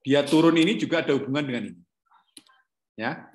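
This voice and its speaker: A man's voice: mid-range voice, fairly quick. A middle-aged man teaching a calculus lesson online, asking questions and correcting himself as he goes. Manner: questioning, self-correcting, repeats "ya". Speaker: lecturer. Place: home room, not office. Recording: echoey room.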